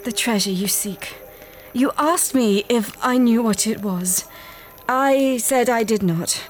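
An actress playing a kind, solemn dying village woman haunted by regret, speaking in a grave, measured way for an audio drama. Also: a little high, faint music behind. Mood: regretful, confessional.

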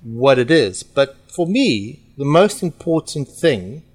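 A man's voice: medium pitch, big pitch swings, slow, husky. A middle-aged man with a South African accent and Portuguese roots, talking earnestly as a podcast guest about his work. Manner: earnest, reflective, with fillers. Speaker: podcast guest, franchise owner. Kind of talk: casual interview talk.

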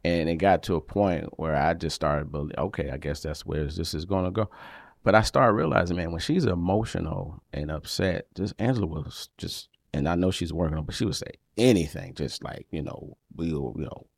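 A man with a deep voice that carries, co-hosting a casual chat with his wife, animated and getting louder when excited. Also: normal speed, creaky, dry recording. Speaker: podcast co-host. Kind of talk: casual married-couple chat.

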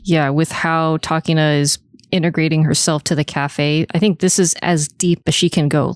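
A man's voice: a little high, darkish tone, smooth, no echo, studio quality. A man co-hosting a podcast in casual chat, speaking bluntly and swearing. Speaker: podcast co-host. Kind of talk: casual anime discussion chat.

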